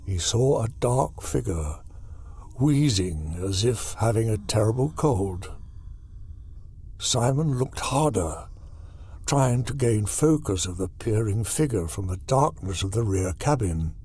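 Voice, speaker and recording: A man narrating a horror audiobook in a deliberate, dramatic storytelling style. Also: on the low side, animated, darkish tone.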